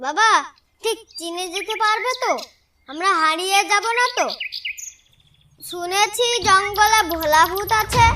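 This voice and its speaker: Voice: extremely high pitch, distant mic, background noise. A performer voicing a curious, stubborn and anxious little village boy in a cartoon, full of insistent questions. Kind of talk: cartoon story dialogue.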